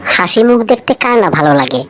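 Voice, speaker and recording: A woman's voice: mid-range voice, sing-song, fairly quick, fairly bright tone, a little hiss or hum. A woman reading a scripted, light trivia feature, addressing the listener directly and posing rhetorical questions. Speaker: co-narrator of a feature video.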